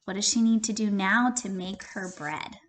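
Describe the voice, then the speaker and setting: A woman teacher giving an interactive children's read-aloud, expressive and questioning, speaking directly to young listeners. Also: mid-range voice, normal speed. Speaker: primary school teacher.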